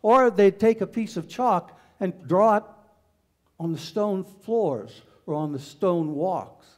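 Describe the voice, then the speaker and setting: Brief: older male pastor, higher voice, slow steady sermon delivery, large sanctuary. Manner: slow, measured preaching with repeated phrases. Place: large church sanctuary with tall windows.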